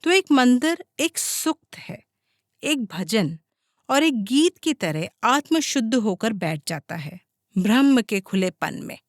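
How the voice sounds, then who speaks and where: A woman's voice: fairly high pitch, big pitch swings, takes it slowly, no room sound, studio quality. A woman reading a scripted audiobook as its narrator.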